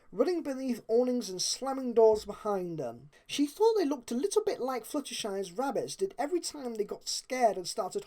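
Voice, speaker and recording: A woman's voice: medium pitch, warm tone. A woman narrating a story expressively, as if reading a storybook aloud.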